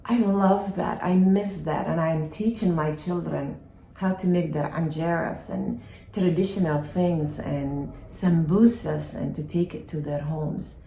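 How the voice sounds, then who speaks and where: Slow-talking woman from Somalia. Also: low voice, steady tone, gravelly, mellow, reverberant, slight background noise, low-quality recording. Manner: chatty, asks viewers "right?". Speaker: home baker and farm vlogger. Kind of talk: casual kitchen vlog. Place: home kitchen.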